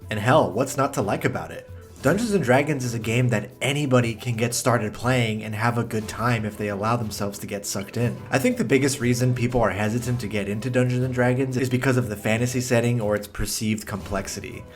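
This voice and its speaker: Male essay host, blunt and profane, middle pitch, soft music underneath. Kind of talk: scripted commentary narration.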